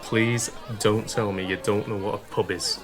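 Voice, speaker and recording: A man's voice: fairly low pitch, steady tone, moderate pace, music in the background, a crowd in the background, natural voice. An actor playing a cheeky but kindly young working-class Victorian man with an English accent, informal and slangy, in a period radio drama. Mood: friendly.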